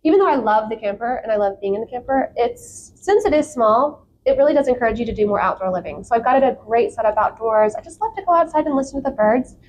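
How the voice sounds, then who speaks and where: A woman's voice: a little high, lively intonation, quick pace, hardly any pauses, a little mellow, a little hiss or hum, rough recording. A woman chatting casually and enthusiastically as an interview guest, in an informal way. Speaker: podcast guest, content creator.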